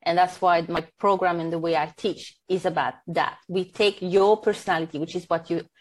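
Guest coach: woman from Tahiti, French first language, French accent, lower voice. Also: steady tone, normal speed. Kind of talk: podcast interview.

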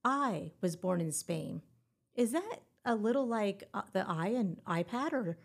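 Woman, podcast host, teaching grammar, playful, encouraging, close mic, mid-pitched voice. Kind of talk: scripted educational podcast.

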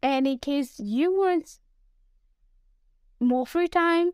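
A woman's voice: high-pitched, drawn-out words, darkish tone, dry recording, studio quality. A woman from Nigeria with a Nigerian English accent, giving an emphatic podcast lesson as a business English coach who speaks straight to the listener. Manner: emphatic, addresses the listener directly.

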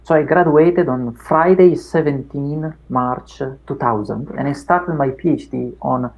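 A middle-aged man with an Italian accent, Italian his first language, talking through his past in an unhurried interview with many fillers and restarts. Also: medium pitch, rough recording. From Italy. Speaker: academic guest. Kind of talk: reflective interview chat.

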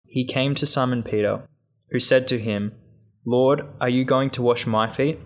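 A man reading a Gospel passage aloud in a measured, solemn way. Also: mid-range voice, steady tone. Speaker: scripture reader.

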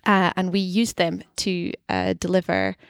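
A woman's voice: lowish voice, fairly even intonation, a little slow, vocal fry, no echo. A woman in her thirties with a Scottish accent, a guest scientist talking chattily with many ums and uhs in a podcast studio. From Scotland. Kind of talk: podcast interview.

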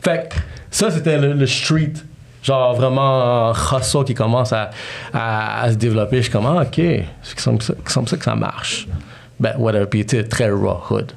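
A man's voice: medium pitch, steady tone, moderate pace, creaky. A man in his thirties with a Quebec French accent, telling his life story as a podcast guest, freely mixing English slang into his French. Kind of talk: casual storytelling interview.

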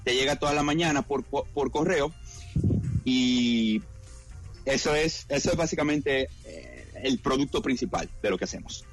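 A man's voice: medium pitch, expressive, normal speed, faint music behind. Hesitant Dominican man, guest. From Dominican Republic. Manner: hesitant, many fillers and repeated words. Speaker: guest entrepreneur. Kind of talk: interview answer.

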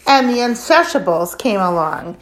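A woman reading a children's book aloud as scripted narration, leaning deliberately on key words and lists. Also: mid-range voice, sing-song, low-quality recording.

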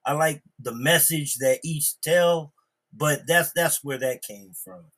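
A man with a fairly high, rough-edged voice speaks in a casual group discussion. His delivery is bright and forceful, and he is blunt, swearing freely as he makes his points. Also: takes it slowly. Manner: blunt, profane. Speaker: podcast discussion participant.

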